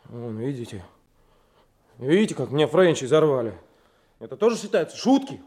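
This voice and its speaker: A man's voice: medium pitch, sing-song, normal speed, mellow, natural voice. A man playing a stubborn, indignant young trainee detective in a Soviet radio drama, speaking bluntly. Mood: stubborn, aggrieved. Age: young adult.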